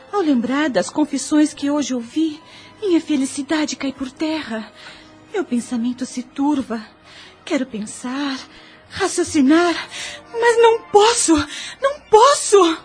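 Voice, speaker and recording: A distraught young woman pours out bitterness in formal, emphatic phrases. Her voice is high, with a Brazilian accent. It is melodramatic radio soap acting with faint music behind it. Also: measured pace.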